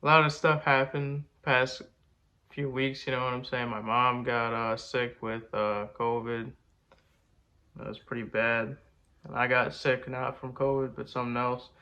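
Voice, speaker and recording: A man's voice: medium pitch, level tone, unhurried, sharp tone, lo-fi sound. A man with a congested voice, talking to camera in a laid-back, slangy, rambling way. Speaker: rapper and video host. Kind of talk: casual talk to camera.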